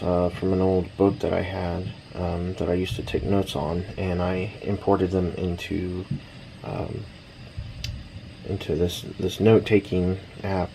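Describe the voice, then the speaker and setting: Slow-talking, low-voiced American man. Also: mellow. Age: 30s. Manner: laid-back, halting, thinking aloud. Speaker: radio hobbyist, vlogger. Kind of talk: casual rambling vlog. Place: small backyard shed. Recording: noisy shed, fireworks in distance. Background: background noise, distant fireworks popping.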